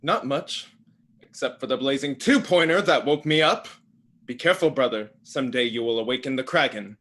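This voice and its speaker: A man's voice: medium pitch, normal speed, fairly bright tone, lightly acted. A man playing a domineering eldest-brother god of the underworld, condescending and threatening, in a staged play reading. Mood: scornful.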